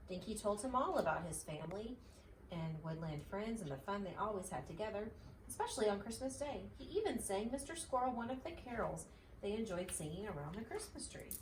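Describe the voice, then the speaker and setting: A woman reads a children's story aloud in an expressive, lively storytelling style. Her pitch moves around freely. The recording is roomy and a bit distant, with faint noise. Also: brisk. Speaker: mother reading a storybook aloud.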